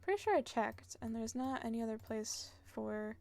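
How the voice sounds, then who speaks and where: A woman's voice: fairly high pitch, lively intonation, normal speed, vocal fry, a little mellow. A woman streaming a video game, casually rambling and thinking aloud as she plays. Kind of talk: casual chatty gameplay commentary.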